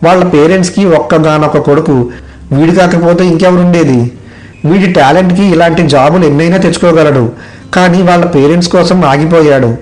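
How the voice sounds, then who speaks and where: A man playing an earnest, loyal young village friend in an animated moral story. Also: on the high side, fast talker, close to an everyday voice, poor audio. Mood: indignant, defensive.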